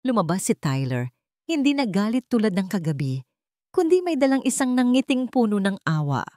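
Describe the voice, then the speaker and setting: A woman's voice: fairly high pitch, very animated, moderate pace, dark tone, no room sound, studio quality. A woman narrator reading a scripted romantic drama story in a dramatic storytelling style.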